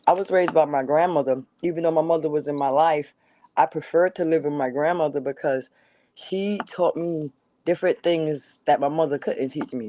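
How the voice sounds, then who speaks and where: A woman's voice: sits low, warm tone, no room sound. A middle-aged woman speaking earnestly and plainly about her own life as a talk show guest. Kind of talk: personal reflection in conversation.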